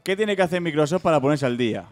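A man's voice: a little high, expressive, darkish tone. A man with a Murcian accent, a podcast co-host, throwing in teasing remarks. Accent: Murcian Spanish. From Murcia, Spain. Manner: teasing, quick interjections. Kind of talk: casual group chat.

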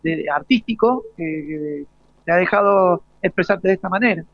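A man's voice: high-pitched, normal speed, no room sound, muffled recording. A man interviewing live, hesitating and rephrasing as he goes. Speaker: radio interviewer.

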